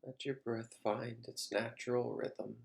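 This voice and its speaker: A man leading a guided meditation as a teacher, speaking calmly in short, measured phrases with long pauses. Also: mid-range voice, vocal fry.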